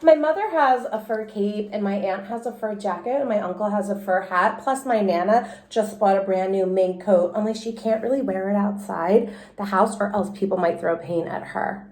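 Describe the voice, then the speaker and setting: A woman's voice: medium pitch, normal speed, few pauses, a little mellow, close to an everyday voice. A woman voicing a snooty, rich kindergarten girl in a storybook, boastful and scornful like a know-it-all. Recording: roomy, echoey indoor sound.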